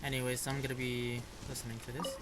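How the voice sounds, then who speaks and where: A man's voice: mid-range voice, little pitch movement, fast talker. Casual man reacting over noisy audio. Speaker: game streamer.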